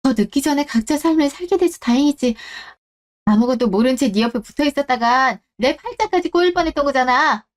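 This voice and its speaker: A woman's voice: sits high, expressive, brisk. A woman playing a proud ex-wife and company executive in a radio drama, scolding with cutting, defiant scorn. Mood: scornful, furious. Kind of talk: Korean radio-drama story acting.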